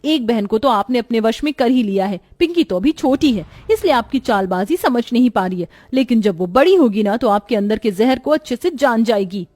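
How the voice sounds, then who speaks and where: A woman's voice: a little high, lively intonation, moderate pace, few pauses, a little mellow. A woman playing emotional women of a troubled family in a moral story, with emphatic, melodramatic delivery. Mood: angry, scornful. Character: dramatic young and motherly women of a troubled family.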